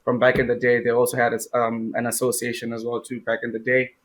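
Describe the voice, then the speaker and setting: A man's voice: mid-range voice, completely flat delivery, quick pace, fairly bright tone. A young man from Zimbabwe, a guest in an interview, answering with many fillers and restarts.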